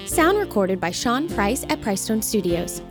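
A woman's voice: medium pitch, very animated, moderate pace. A woman announcer reading scripted end credits for an audio drama podcast. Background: guitar music.

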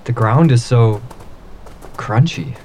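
A man's voice: medium pitch, takes it slowly, darkish tone, slight background noise, lightly acted. Man playing a wisecracking space crewman. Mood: curious. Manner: glib, bantering, mock-formal. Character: wisecracking, laid-back male space crewman, defiantly jokey. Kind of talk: audio drama acting.

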